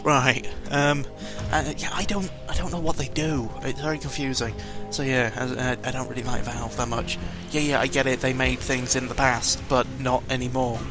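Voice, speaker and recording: A man's voice: medium pitch, fairly even intonation, normal speed, hardly any pauses, over music. A man talking casually in a rambling, hesitant audio log, grumbling and giving blunt opinions. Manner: hesitant, meandering, opinionated grumbling with mild swearing. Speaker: gaming vlogger.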